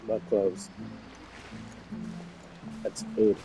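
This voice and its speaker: Very low-voiced woman reacting over game music. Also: level tone, drawn-out words, highs cut off. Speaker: game streamer reacting to story. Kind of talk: casual, sweary gameplay commentary. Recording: loud game music behind the voice.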